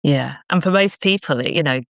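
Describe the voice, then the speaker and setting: A woman's voice: low-pitched, normal speed, vocal fry, dry recording, studio quality. A British woman speaking conversationally as a podcast host. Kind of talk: conversational interviewing and promotional talk.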